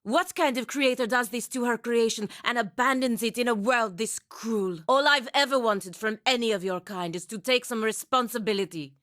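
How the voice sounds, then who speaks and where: A woman's voice: fairly high pitch, fairly bright tone, no echo, clean studio sound. A woman playing a proud, bitter, dutiful warrior knight in a video game, speaking with stern, formal intensity. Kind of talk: video game character acting.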